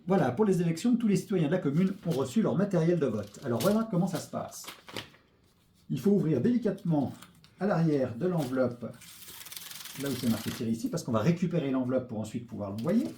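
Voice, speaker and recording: A man talks through a practical tutorial in a patient, plain way, now and then pausing to find a word. His tone is mellow and somewhat dark. The sound carries a noticeable room echo over a faint background hiss. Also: a little high, animated. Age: 40s. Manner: plain, patient explaining with occasional hesitations. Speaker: local politician giving a voting tutorial. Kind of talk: step-by-step explanatory tutorial. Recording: echoey room with faint noise.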